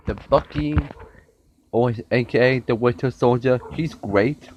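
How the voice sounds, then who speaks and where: A young man with a sore throat reviewing a TV show in a casual vlog, rambling and often repeating and restarting his words. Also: medium pitch. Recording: dull lo-fi with faint noise.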